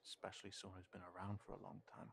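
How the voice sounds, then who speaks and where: A man playing a cautious, protective fantasy character in an intimate audio roleplay, speaking haltingly and thoughtfully as if to one companion. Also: low voice, completely flat delivery, vocal fry. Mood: worried, hesitant. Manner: hesitant, halting, thoughtful, trailing off.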